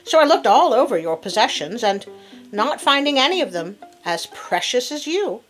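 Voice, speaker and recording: A woman voicing a clever, devoted nobleman's wife in a storybook, composed and measured. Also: a little high, big pitch swings, fairly bright tone, soft background music, rough recording. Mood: calm, loving.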